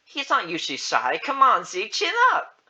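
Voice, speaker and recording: A reader voicing a brisk, outspoken and encouraging woman who looks after orphans in a story. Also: mid-range voice, very animated, bright, forceful tone, pitched above the performer's own voice, exaggerated voice.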